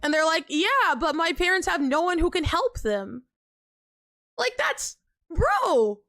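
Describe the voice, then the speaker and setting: A young woman streaming live, chatting casually with viewers in an animated, emphatic way full of fillers and quick pitch jumps. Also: no echo, studio quality, soft background music. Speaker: livestreamer.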